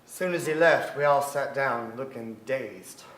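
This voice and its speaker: A middle-aged man playing a wistful, restless son who narrates his memories onstage, reflective and halting. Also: mid-range voice, fairly bright tone, close to an everyday voice. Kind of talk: dramatic first-person stage narration. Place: stage of a large theater. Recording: echoey theater with faint noise.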